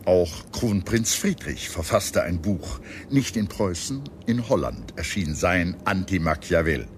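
A man reading a scripted history documentary in a measured, expressive storytelling manner. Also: sits low, a little hiss or hum. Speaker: documentary narrator. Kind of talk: scripted documentary narration with dramatized quotes. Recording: music under the voice. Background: background music.

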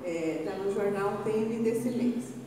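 An older woman from Brazil speaks slowly into a stand microphone, stopping and restarting often as she reads out church notices. Her voice is mellow and rather dark. It rings with a long hall reverb and sounds distant from the mic. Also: medium pitch, slight background noise. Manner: slow, halting, with many fillers and restarts. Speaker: church leader giving announcements. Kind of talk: unscripted church announcements. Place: large church hall indoors, altar behind. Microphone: stand mic a little off. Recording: echoey hall recorded from afar.

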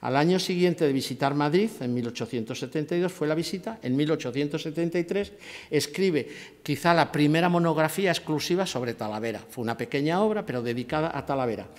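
Older man lecturing in a reverberant hall. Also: on the high side. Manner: measured, with frequent restarts and fillers. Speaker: conference speaker. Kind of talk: academic lecture. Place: lecture hall, seated at a table with a laptop.

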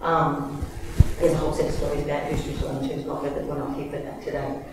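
A woman's voice: low-pitched, flat delivery, fast talker, background noise. A middle-aged woman with an Australian accent, introducing a guest speaker at a university event in a casual, chatty way with many fillers and self-corrections. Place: large university lecture hall. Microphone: lectern microphone, recorded from far back. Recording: echoey hall from far off.

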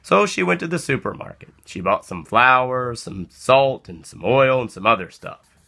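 A man reading a children's story aloud as narrator, with expressive, deliberate phrasing. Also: mid-range voice, vocal fry. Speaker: storybook reader.

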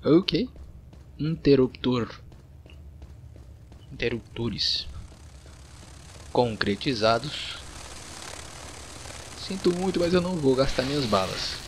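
A man's voice: mid-range voice, animated, slow pace, long pauses, slight background noise. A man with a Brazilian accent giving casual let's-play commentary, informally muttering his reactions as he goes. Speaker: gameplay host.

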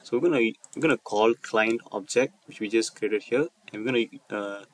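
A man presenting an unscripted coding walkthrough over a screen recording, speaking haltingly and often repeating and rephrasing his phrases. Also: fairly low pitch, slight background noise. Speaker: tutorial presenter.

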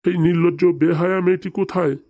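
A man acts out a stern comic cartoon father with a fairly high male voice and very little room sound. He talks fast and hectors with one pointed question after another. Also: fairly even intonation, warm tone, dry recording, close to an everyday voice. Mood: angry, scolding. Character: angry scolding father of a grown son.

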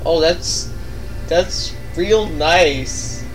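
Sarcastic dude gaming, voice a bit high, sitting back from the mic, music behind him. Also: male voice, very slow, recorded from a distance, poor audio. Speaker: let's play player. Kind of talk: casual game commentary. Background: video game music.

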